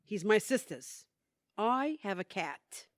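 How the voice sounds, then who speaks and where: A woman's voice: medium pitch, very animated, takes it slowly, no echo, clean studio sound. An actress playing a plain-spoken, wry, hesitant woman who longs to be braver, in an audio play. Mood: nervous confessing. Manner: folksy, candid, wry. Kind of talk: audio theatre acting.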